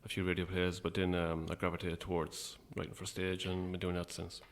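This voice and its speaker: Deep, gravelly middle-aged Irish man. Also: monotone, brisk. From Ireland. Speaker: guest, playwright and actor. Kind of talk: casual interview chat.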